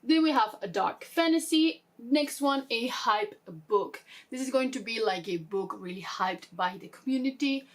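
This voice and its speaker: A woman's voice: a little high, animated, moderate pace, hardly any pauses. A woman chatting casually as a book vlogger, explaining things informally and interrupting herself with little asides. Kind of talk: casual chat explaining a reading game.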